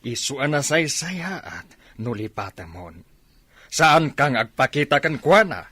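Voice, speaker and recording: Proud, ambitious middle-aged Ilocano father, played by a man, husky, dry studio sound, radio drama. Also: mid-range voice, very animated, a little slow, pushed and bright, no echo, heavily acted. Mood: disapproving.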